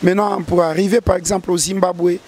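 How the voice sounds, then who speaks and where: A man's voice: high-pitched, fairly even intonation, fairly quick, darkish tone. A man, a gospel singer answering interview questions earnestly, often restarting his sentences. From DR Congo. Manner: earnest, hesitant with repeated restarts.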